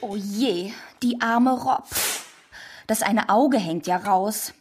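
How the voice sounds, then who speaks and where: A woman's voice: medium pitch, slow, darkish tone, faint music behind, natural voice. A woman playing a doting, placid superhero-family mother in a children's radio play.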